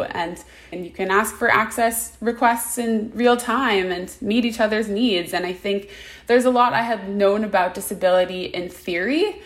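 A woman in her twenties, speaking as an interview guest in an earnest, quick way over a video call. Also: medium pitch. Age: 20s. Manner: earnest, fast-talking. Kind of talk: casual interview answers.

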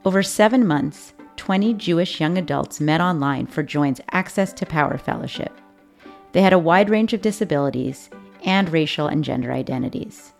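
A woman hosting a podcast, reading a scripted introduction and interviewing guests in a measured, clear way. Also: sits low. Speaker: podcast host.

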